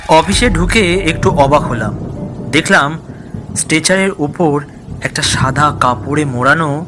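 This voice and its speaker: A man with a fairly high voice narrates a scripted horror tale as a first-person storyteller. His delivery is dramatic, and music plays clearly behind him.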